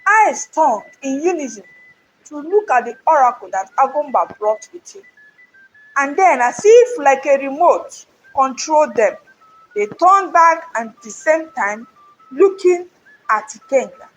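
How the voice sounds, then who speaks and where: A woman's voice: sits high, very animated, measured pace, soft background music, rough recording. A woman narrating a scripted folktale in a theatrical, solemn storytelling manner.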